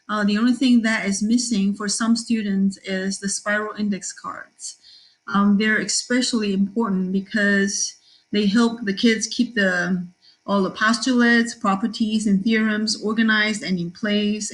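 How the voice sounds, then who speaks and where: A middle-aged woman from Taiwan, a math teacher, giving a cheerful class introduction with frequent ums and pauses. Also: medium pitch, fairly bright tone, poor audio. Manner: cheerful, with many fillers and pauses.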